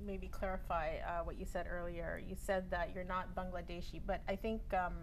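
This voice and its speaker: A woman's voice: on the low side, level tone, normal speed, a little hiss or hum. A woman hosting a studio TV interview, asking clarifying questions with many hesitant fillers. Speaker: TV talk show host.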